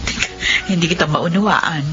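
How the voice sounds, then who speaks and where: A lowish-voiced older sister with a Filipino accent played by a radio actress, gentle and reassuring. The slightly muffled drama recording has music clearly underneath. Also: female voice, normal speed, natural voice. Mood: soothing, concerned. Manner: soothing, reassuring.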